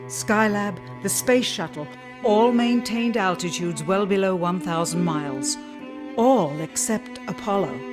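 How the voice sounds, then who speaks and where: A woman reading scripted documentary narration in a formal, measured way. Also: medium pitch. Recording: music behind the narration. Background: music bed.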